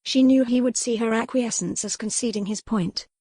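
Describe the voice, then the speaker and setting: A woman reading scripted romance fiction as an audiobook narrator in a deliberate storytelling manner. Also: on the high side, mellow, no echo.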